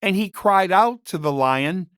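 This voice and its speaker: A man reading a story as a narrator, in a measured and expressive scripted style. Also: high-pitched, clean tone, no room sound, clean studio sound.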